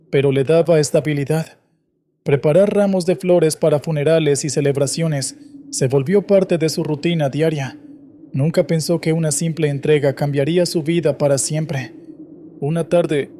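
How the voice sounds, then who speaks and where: A man reading scripted first-person horror stories in a steady, measured way. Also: fairly high pitch, slight background noise. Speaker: horror story narrator.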